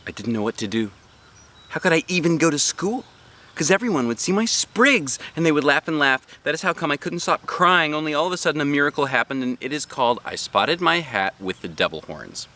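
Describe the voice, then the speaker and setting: A man hosting a read-aloud, narrating a children's storybook in an animated style. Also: on the high side, brisk.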